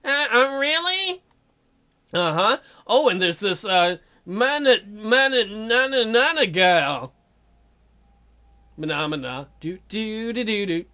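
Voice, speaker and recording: A man's voice: high-pitched, slow, clear, resonant tone. A man hosting a let's play, reading a dating sim's dialogue aloud and cracking crude jokes between the lines. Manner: animated, jokey, reads character lines in shifting voices. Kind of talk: reading a dating sim aloud with joking commentary.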